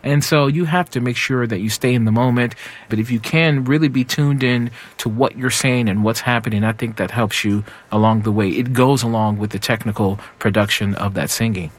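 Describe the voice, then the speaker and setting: A man's voice: mid-range voice, fairly quick. A middle-aged man with a mid-range speaking voice talks through his craft. He is thoughtful and earnest and restarts his phrases now and then. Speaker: opera tenor being interviewed. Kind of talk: reflective interview answers.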